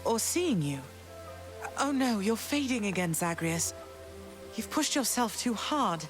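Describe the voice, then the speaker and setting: A woman playing a gentle, dignified long-lost mother goddess in a video game, speaking formally and with feeling. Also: medium pitch, lively intonation, a little slow, faint music behind, close to an everyday voice. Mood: concerned. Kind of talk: dramatic video game acting.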